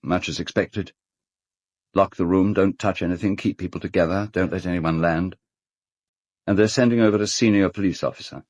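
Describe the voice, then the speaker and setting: An actor playing a fussy, courteous middle-aged English gentleman in a radio drama, speaking formally and hesitantly. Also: low voice, fairly even intonation, normal speed. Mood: businesslike, uneasy. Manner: hesitant, formal, well-spoken. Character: fussy, courteous gentleman host of an island castle. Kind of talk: British radio drama acting.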